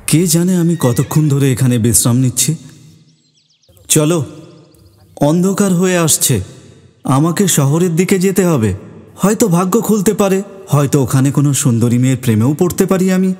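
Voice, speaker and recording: A man playing a carefree, romantic young wandering prince in an animated fairy tale, recorded with long reverb. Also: a little high, normal speed, pauses often, faint music behind, lightly acted. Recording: long reverberant hall echo.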